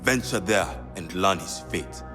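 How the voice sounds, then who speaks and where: A man's voice: sits low, measured pace, music in the background. An actor playing a genial male bureau keeper in a video game, speaking in a friendly, businesslike way. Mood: earnest. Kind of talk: video game cutscene acting.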